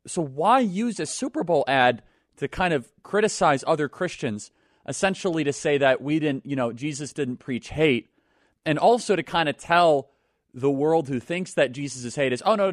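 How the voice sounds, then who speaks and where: Indignant talk-show host, dry close sound. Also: male voice, a little high, no echo. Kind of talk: opinionated talk show commentary.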